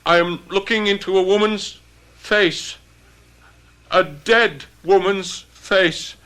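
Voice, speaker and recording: A man's voice: high voice, fairly even intonation, slow pace, pushed and bright, clear, resonant tone, full character voice. A man acting in a radio drama as a jealous, ambitious young gendarme, earnest and dramatic in delivery. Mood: horrified, urgent.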